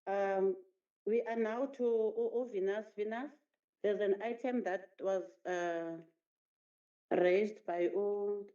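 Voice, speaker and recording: A woman's voice: mid-range voice, fairly even intonation, slow pace, dark tone. A woman chairing a council meeting, hesitant and full of ums and uhs. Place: council chamber. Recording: roomy, dull council chamber audio.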